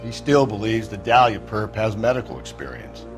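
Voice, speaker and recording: A man's voice: on the low side, sing-song, moderate pace, faint music behind. A man playing a world-weary, wry American detective partner in a video game, cynical and brusque.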